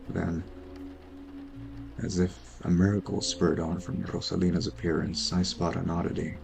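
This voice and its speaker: A man playing a weary, brooding detective, narrating in a measured film noir style for an audio drama. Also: low voice, vocal fry, darkish tone. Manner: brooding, measured first-person monologue. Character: weary, sleepless noir detective brooding over murder cases. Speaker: voice actor narrating an audio roleplay.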